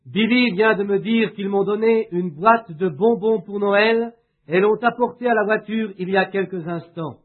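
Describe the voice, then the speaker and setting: Guy with a high voice reading a sermon slowly, lots of pauses, sounds like it's coming through a phone. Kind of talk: sermon read aloud, with a spoken prayer. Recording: tinny, phone-like lo-fi recording.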